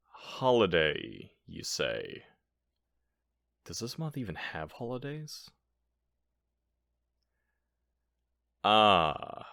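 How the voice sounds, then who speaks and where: A man's voice: low voice, creaky, fairly bright tone, dry recording, clean studio sound, heavily acted. A man playing a nervous, bumbling burglar in an audio roleplay, stammering and bluffing with theatrical emphasis and indignation. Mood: sheepish. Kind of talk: ASMR audio roleplay acting.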